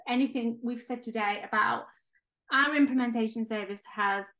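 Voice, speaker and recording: A woman co-hosting a podcast, talking in a chatty, enthusiastic way with a slightly high voice. Her voice is going hoarse as she loses it. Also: normal speed, fairly bright tone. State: losing her voice. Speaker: podcast co-host. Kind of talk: casual podcast chat.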